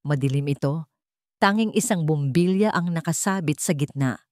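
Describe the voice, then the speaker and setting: A woman with a Filipino accent reading a scripted dramatic story in a measured, suspenseful way. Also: sits low, a little mellow, dry recording, studio quality. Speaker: story narrator.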